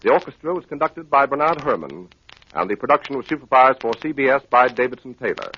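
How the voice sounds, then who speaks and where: A man's voice: mid-range voice, lively intonation, quick pace, a little hiss or hum. An American man announcing in a formal 1930s network radio style. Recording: muffled old radio broadcast with music.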